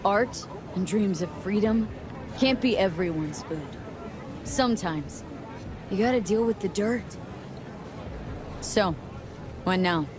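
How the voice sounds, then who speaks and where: A woman's voice: mid-range voice, lively intonation, slow, pauses often, crowd noise, background noise. An actress playing a streetwise, dry-witted female mercenary in a video game, talking in a cool, wry, casual way. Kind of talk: video game cutscene acting.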